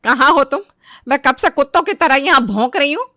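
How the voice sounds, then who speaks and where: A woman's voice: high-pitched, animated, a little fast, pushed and bright, dry recording, studio quality. An actress playing an exasperated middle-aged mother-in-law, sarcastic and nagging, in a comic family story. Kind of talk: animated family comedy story acting.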